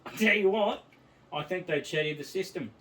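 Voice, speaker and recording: A man's voice: sits high, normal speed, bright, forceful tone, distant mic. A man doing a put-on British accent as a crude, cheeky bloke in an improvised comedy bit. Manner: cheeky, mocking, laddish banter. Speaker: podcast co-host.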